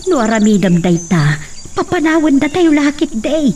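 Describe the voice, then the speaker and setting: A woman's voice: high-pitched, sing-song, normal speed, music in the background. An actress playing a worried mother who talks anxiously to her daughter. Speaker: actor. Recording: distant mic, faint noise.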